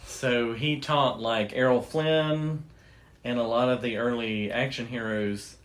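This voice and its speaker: A man's voice: mid-range voice, steady tone, slow pace. A man co-hosting a show, explaining things in a casual, enthusiastic and informal storytelling way. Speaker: podcast co-host.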